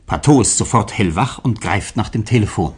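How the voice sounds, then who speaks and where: Low, husky male radio drama narrator. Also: animated, normal speed, darkish tone.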